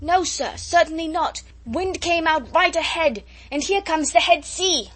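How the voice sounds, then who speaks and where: An agitated ship's officer in a sea story, acted out by a woman reader, shouting over a gale. The delivery is clipped and loud, pushed well above normal speaking pitch. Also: measured pace. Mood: strained shouting.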